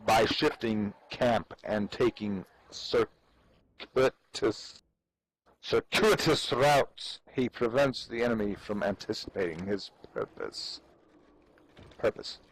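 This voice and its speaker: A man giving a solemn, deliberate dramatic reading of an old treatise in a declamatory manner. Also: mid-range voice, poor audio. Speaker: reader performing a dramatic reading.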